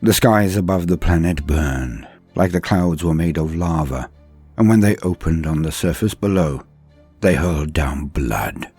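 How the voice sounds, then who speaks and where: A man narrating a scripted story in a theatrical, measured manner with weighty pauses. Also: a bass voice, animated, a little mellow, husky. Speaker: storyteller and channel host. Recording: music behind the voice. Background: background music.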